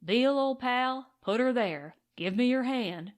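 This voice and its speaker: A woman voicing an excited, grateful cowboy gold prospector in an audiobook western, with folksy, boastful talk. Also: fairly high pitch, slow pace. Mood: grateful, eager.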